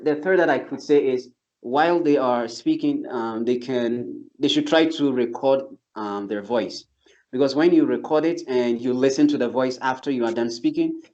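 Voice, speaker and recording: A man's voice: medium pitch, darkish tone. A man who teaches English, explaining his tips step by step in casual talk, often restarting his sentences. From not from Turkey. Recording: slightly dull lo-fi sound.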